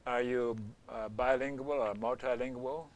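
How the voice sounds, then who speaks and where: A man's voice: medium pitch, steady tone, normal speed. A man hosting an interview, asking questions in a playful, teasing way. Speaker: host.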